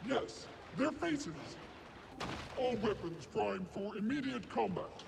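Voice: mid-range voice, animated, takes it slowly, vocal fry, background noise, full character voice. An actor voicing a rigid, obedient battle droid in a video game, clipped and emotionless, through a robot filter. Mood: stern, robotic. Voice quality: electronic, grating.

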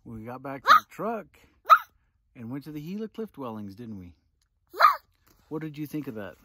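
A man's voice: medium pitch, very animated, normal speed, very noisy. A man in his thirties recapping a camping trip to his small child, coaxing her with questions, filmed on a phone held at arm's length outdoors. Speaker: father filming a camping trip. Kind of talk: casual family vlog recap. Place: outdoors in desert scrub. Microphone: phone selfie at arm's length.

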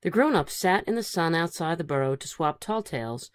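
Animated woman reading a children's storybook. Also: on the low side, a little fast, clean tone, no room sound, studio quality. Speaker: storybook reader. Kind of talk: expressive children's read-aloud.

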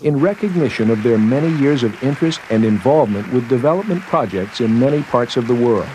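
A male narrator reads a scripted newsreel report. His pitch sits in the middle range for a man. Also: lively intonation, fairly quick, background noise.

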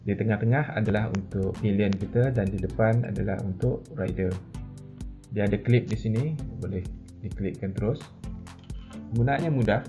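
Casting: Malaysian man, forties, low creaky voice, informal hesitant product explainer who mixes in English terms. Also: moderate pace, over music. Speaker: product reviewer. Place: medium-sized indoor office.

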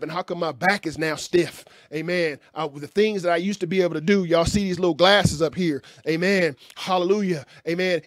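A man's voice: high voice, no room sound, studio quality. A man in his fifties preaching at a Bible class, emphatic and folksy, dropping amens and hallelujahs between lines. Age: 50s. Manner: emphatic call-and-response preaching with frequent amens; folksy, conversational, gestures with hands. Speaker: pastor. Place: small indoor room with curtain backdrop. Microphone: stand mic in front.

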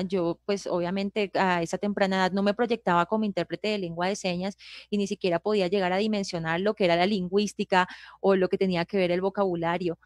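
A woman, a sign language interpreter introducing herself on a live panel, speaking steadily with frequent "eh" pauses. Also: medium pitch, no room sound, studio quality. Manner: steady, hesitant "eh" fillers.

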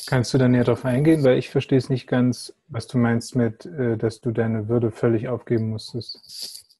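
A man in his thirties interviews someone over a video call. His voice is dark and husky, at a middling pitch. He asks questions reflectively, in a dry-sounding room. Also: level tone, moderate pace, no room sound. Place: plain room indoors.

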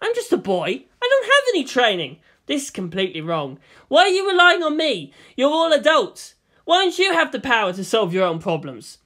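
A woman's voice: high-pitched, wildly swooping pitch, moderate pace, fairly bright tone, pitched above the performer's own voice, full character voice. Woman playing an agitated, protesting teenage boy. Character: distressed, argumentative, suspicious teenage boy, reluctant pilot. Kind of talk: dramatic audiobook character reading.

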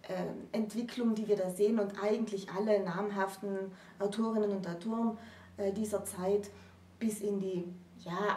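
A woman presents an explanatory talk in a deliberate, conversational way. Her tone is mellow and relaxed. The recording is roomy, with the microphone some distance away. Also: medium pitch. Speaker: co-presenter explaining literary history. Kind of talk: conversational lecture. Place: small indoor room with bookshelves.

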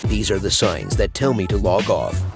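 A man's voice: deep voice, very animated, moderate pace, creaky. A man narrating, reading out short captions and memes. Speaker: narrator of meme compilation. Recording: loud music under the voice.

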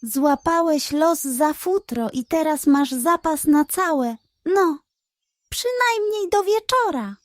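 A woman voicing a sly, greedy male pug dog in a children's storybook, a fast-talking schemer with a wheedling, theatrical manner. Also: high voice, expressive, dry recording, studio quality, pitched above the performer's own voice. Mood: smug.

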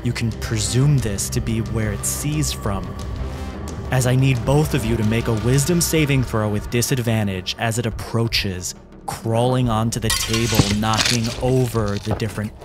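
A man narrating a tabletop game as game master, in a dramatic, deliberate, descriptive storytelling manner. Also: lowish voice. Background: music behind the voice.

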